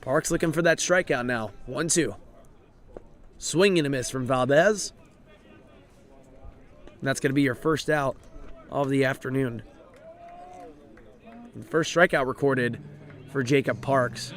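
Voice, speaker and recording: A man calling a college baseball game play by play, his voice raspy and hoarse from overuse, steady and informative, and lifting with the action on the field. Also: mid-range voice, measured pace, long pauses. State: hoarse, voice drained. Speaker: play-by-play announcer. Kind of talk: live baseball play-by-play commentary.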